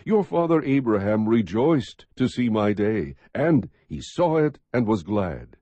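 A man narrating scripture as an audiobook, reading in a measured, expressive, husky voice. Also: fairly low pitch, no room sound, dull audio.

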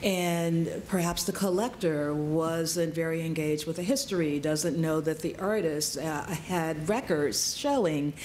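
A woman speaking as a curator on a panel discussion in a large conference room, measured and deliberate. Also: low-pitched, darkish tone, slight background noise.

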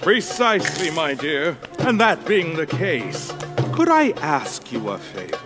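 A man's voice: on the high side, wildly swooping pitch, a little slow, over music. An actor playing a grand old skeletal king in a video game, courtly and grave, with formal, theatrical phrasing. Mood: courtly pleading. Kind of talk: video game cutscene acting.

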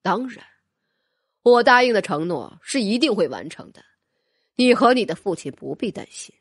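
Guy as a cold young noble villain, threatening and bossy, husky voice, fantasy audiobook. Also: high voice, sing-song, normal speed, fairly bright tone, dry recording, clean studio sound, exaggerated voice. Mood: cold, menacing. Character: ruthless young nobleman plotting to kill his brothers. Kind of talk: audiobook character acting.

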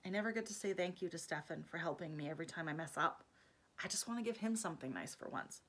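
A fiery young heroine voiced by a woman reading aloud, defiant and emotional. Her voice is bright and ringing, and she argues back hard. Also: lowish voice, lively intonation, fast, breathy edge. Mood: tearful. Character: headstrong young woman with hidden powers.

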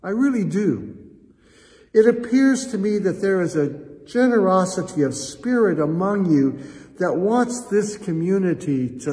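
An older American man, a pastor, speaking solemnly and earnestly in measured phrases as he leads prayer and appeals to a congregation. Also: sits high, warm tone. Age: 60s or older. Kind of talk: church prayer and offering appeal. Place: church sanctuary, indoors. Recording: reverberant church hall echo.

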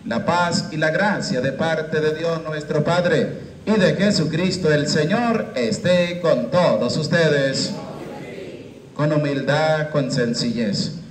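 A man in his thirties, a priest leading Mass into a handheld microphone in a small chapel, with a slow, measured liturgical cadence. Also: on the high side. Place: small chapel with curtained walls. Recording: echoey chapel room.

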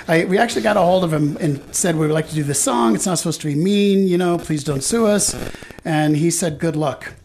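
A man's voice: a little high, a little fast, gravelly, a little mellow. A man chatting casually as a band member being interviewed on radio.

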